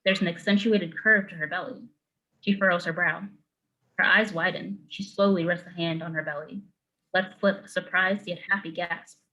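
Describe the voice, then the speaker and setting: A woman's voice: lowish voice, steady tone, normal speed, pushed and bright, dull audio. A woman narrator reading screenplay stage directions in clipped, matter-of-fact phrases.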